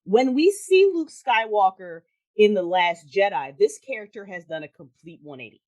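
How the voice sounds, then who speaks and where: A woman's voice: mid-range voice, expressive, normal speed, darkish tone. A woman in a casual, opinionated film chat, talking in an emphatic, blunt way and swearing freely. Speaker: guest, audio drama creator.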